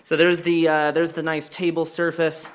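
A man presenting equipment in an informal hands-on walkthrough, speaking hesitantly and pausing mid-sentence. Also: on the high side, completely flat delivery. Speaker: presenter demonstrating church sound equipment. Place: carpeted indoor room. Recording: clear background noise, handheld camera mic.

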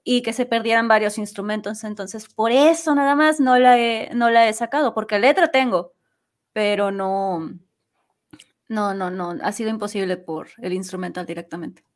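A woman's voice: medium pitch, normal speed. A woman talking casually as an interview guest about her work as an amateur singer. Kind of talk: casual chat.

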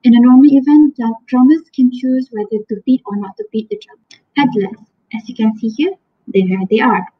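A woman's voice: fairly high pitch, normal speed, husky, soft background music. A young woman, a student presenting a class lesson over slides, explaining carefully with some restarts on a laptop webcam. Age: young adult. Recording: dull lo-fi webcam audio.

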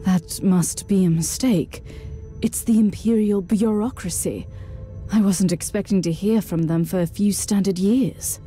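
A composed, resolute warrior sister acted by a woman in a dark sci-fi game. She has a middle-range pitch and a dry, sardonic delivery, with music behind her. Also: unhurried, natural voice. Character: resolute, dry-witted female Inquisitor battle sister. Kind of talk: video game voice acting.

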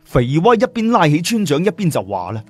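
A man's voice: on the high side, wildly swooping pitch, fairly quick, husky, no echo, soft background music. A man narrating a story in a dramatic storytelling style. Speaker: audiobook narrator.

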